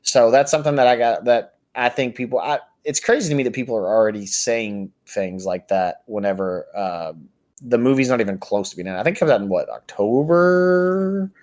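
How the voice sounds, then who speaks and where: A man's voice: mid-range voice, expressive, normal speed, darkish tone. An American man co-hosting a podcast, giving his opinions in casual pop-culture conversation. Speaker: podcast co-host.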